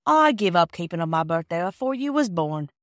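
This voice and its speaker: A woman's voice: fairly low pitch, very animated, brisk, no room sound, clean studio sound. Woman playing a homely working-class railway porter man. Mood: genial, confiding. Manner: homely, ungrammatical, brief rustic speech. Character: friendly cockney railway porter man in his thirties.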